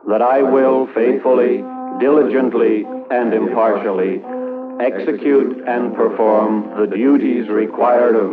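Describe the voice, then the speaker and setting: A man solemnly reciting an oath in a scripted radio opening. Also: mid-range voice. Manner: slow and solemn. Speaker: oath reader. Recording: tinny old radio with music.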